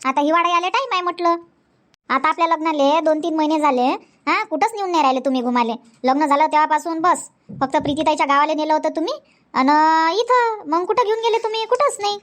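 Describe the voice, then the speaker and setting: Woman voicing a young newlywed cartoon wife, high and rapid, nagging, repeats herself. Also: a bit bright, no echo. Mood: pleading. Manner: repetitive, nagging, talks in a rush. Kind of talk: Marathi comedy cartoon dub.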